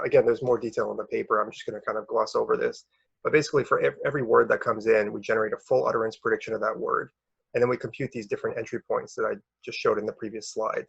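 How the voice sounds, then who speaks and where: A man's voice: mid-range voice, creaky, fairly bright tone, husky. A young man giving an explanatory academic presentation as a researcher, in an informal, hesitant manner with repeated words, on a dull recording. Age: young adult. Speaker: researcher presenting a conference paper.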